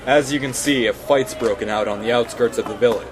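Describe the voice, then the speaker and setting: Dutiful soldier played by a man, mid-pitched, formal military reporting, fantasy audio drama over music. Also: fairly quick, crowd noise, natural voice. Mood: urgent, formal. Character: dutiful male soldier reporting to his general. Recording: background music under the voice.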